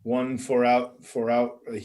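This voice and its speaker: A man's voice: mid-range voice, completely flat delivery, measured pace, a little mellow, a little hiss or hum. A man co-hosting a comedy podcast, riffing casually with sarcasm and playing out absurd bits.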